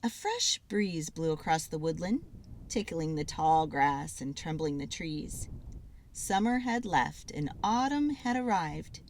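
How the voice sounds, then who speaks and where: A woman giving an expressive, dramatic read-aloud of a children's story. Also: low-pitched, slow, a little hiss or hum.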